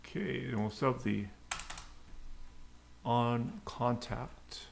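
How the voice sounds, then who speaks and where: A man giving an unscripted coding tutorial, haltingly muttering to himself as he types. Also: medium pitch, fairly even intonation. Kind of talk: unscripted screencast coding tutorial, thinking aloud. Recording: clear background noise, keyboard clicks. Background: typing and mouse clicks.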